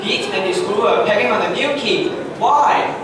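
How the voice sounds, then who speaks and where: A man's voice: sits high, lively intonation, normal speed. A male reader playing an earnest schoolboy in an amateur reader's theatre performance. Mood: serious. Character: Mark, earnest schoolboy standing up to bullies. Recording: very echoey room, distant mic, faint noise.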